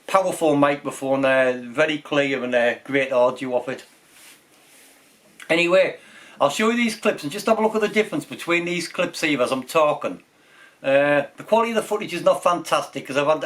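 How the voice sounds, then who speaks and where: A man's voice: mid-range voice, a bit bright. A man talking casually and unscripted as a radio hobby channel host, rambling and repeating himself. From England. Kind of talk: casual unscripted vlog talk.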